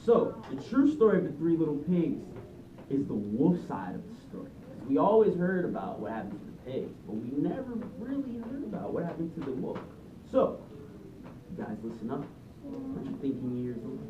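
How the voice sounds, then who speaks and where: Read-aloud teacher, animated pitch, questioning and prompting, distant mic, muffled noisy recording. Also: roomy sound. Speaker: teacher reading aloud to children. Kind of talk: interactive read-aloud storytelling. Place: indoor room with a group of children.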